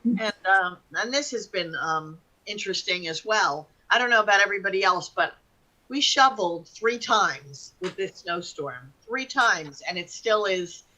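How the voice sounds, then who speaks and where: Bright-voiced woman telling casual stories. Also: medium pitch, expressive, unhurried, poor audio. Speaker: talk show participant. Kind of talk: casual personal storytelling.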